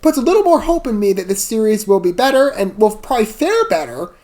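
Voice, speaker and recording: A woman's voice: mid-range voice, big pitch swings, fast, warm tone. A woman hosting a reaction channel, giving casual, rambling commentary in a chatty, digressive way with apologetic asides. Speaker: host of a video game trailer reaction channel.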